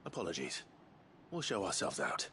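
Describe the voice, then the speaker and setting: Very deep, measured man, game hero. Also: huge pitch swings, background noise. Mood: calm, wary. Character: low-voiced, wary man on a covert journey. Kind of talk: video game cutscene acting.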